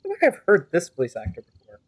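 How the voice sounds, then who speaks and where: A woman's voice: fairly low pitch, huge pitch swings, quick pace, breathy edge. A woman game streamer improvising wry, teasing commentary and joking replies to the characters. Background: game music.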